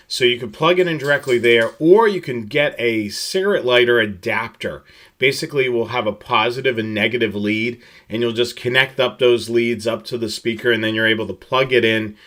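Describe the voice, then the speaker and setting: A man presenting a product tutorial, explaining gear slide by slide in a halting, steady, instructional way. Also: medium pitch.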